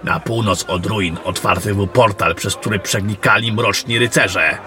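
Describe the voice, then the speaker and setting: A man playing a gruff, battle-worn, commanding general in a fantasy audio drama, blunt and suspicious in his questioning. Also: fairly low pitch, moderate pace, pushed and bright. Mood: stern, sarcastic. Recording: music behind the voice.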